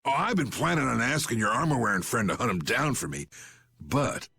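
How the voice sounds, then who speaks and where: An actor playing a gruff, shrewd old guild leader in a video game, gravelly and blunt, with a folksy turn of phrase. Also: male voice, medium pitch, very animated, a little fast, bright, forceful tone, exaggerated voice. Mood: blunt, commanding. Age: older. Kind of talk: dramatic video game voice acting.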